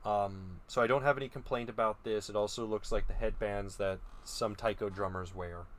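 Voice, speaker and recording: A man's voice: fairly low pitch, fairly even intonation, normal speed, a little hiss or hum. A young man giving casual opinion commentary as a video host. He muses hesitantly, with frequent ums and restarts. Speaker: video host reviewing creature designs.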